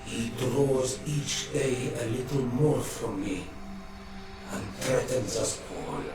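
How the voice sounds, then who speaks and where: Slow, echoing ancient nature spirit voiced by a man. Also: mid-range voice, close to an everyday voice. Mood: grave, foreboding. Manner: slow, portentous, broken phrasing. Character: ancient mystical nature spirit, male, solemn, wounded, ominous. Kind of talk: slow dramatic game voice acting. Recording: cavernous echo, distant, music underneath.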